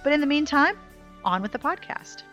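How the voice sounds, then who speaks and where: An American woman hosting a podcast, reading the intro and then chatting with a guest in a joking, quick way. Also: sits high, very animated, a bit bright, muffled recording, faint music behind. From United States. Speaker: podcast host. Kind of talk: casual podcast chat and read intro.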